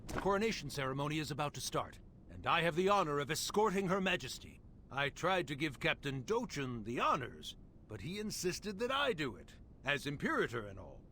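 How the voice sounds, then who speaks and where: A bright, highish-voiced imperial official from a fantasy game. He is eager, honorable and ceremonious, with lively swings in pitch. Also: fairly quick, slight background noise. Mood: eager, proud. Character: upbeat, honorable imperial official escorting royalty. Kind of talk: fantasy game cutscene acting.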